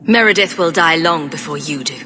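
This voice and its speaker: A woman's voice: low-pitched, animated, a little fast, bright, forceful tone, a little hiss or hum. A woman playing a resolute young champion in a video game, firm, earnest and commanding. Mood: calm resolve. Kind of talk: dramatic video game voice acting. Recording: long reverb, music behind.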